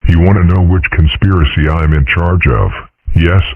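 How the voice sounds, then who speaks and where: A man's voice: low-pitched, moderate pace, no echo. A man voicing an urbane, composed, dryly scheming cartoon father, speaking with formal understatement in a comic strip dub. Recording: muffled phone-like audio.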